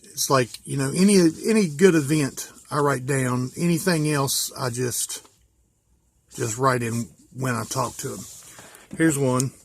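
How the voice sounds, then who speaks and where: An American man from West Virginia talking casually and informally as he shows things, pausing to think aloud. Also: mid-range voice. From West Virginia, USA. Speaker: hobbyist vlogger. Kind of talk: casual show-and-tell.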